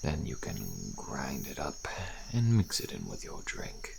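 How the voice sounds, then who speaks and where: Frail old male deserter, ASMR fantasy roleplay: slow, wary, helpful, thick gravel, faint cricket noise behind. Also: wildly swooping pitch, heavily acted. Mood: patient, instructive. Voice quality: very deep, gravelly, breathy at times. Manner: slow, archaic, deliberate, often dropping to a murmur.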